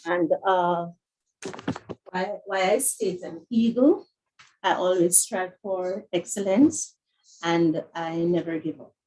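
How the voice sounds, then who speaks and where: A woman's voice: on the low side, slow, a little mellow, slight background noise, rough recording. A woman student giving a short, plain introduction of herself on a video call. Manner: plain, brief self-introduction.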